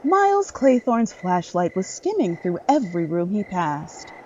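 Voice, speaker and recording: A woman's voice: medium pitch, big pitch swings, measured pace, darkish tone, lo-fi sound, a little hiss or hum. A woman reading scripted narration for a dramatic horror story.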